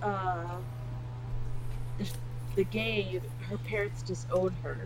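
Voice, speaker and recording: A woman's voice: mid-range voice, steady tone, very slow, background noise. A woman co-hosting a podcast, chatting casually about a film as it plays. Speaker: podcast co-host. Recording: podcast with film soundtrack behind. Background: film audio and music.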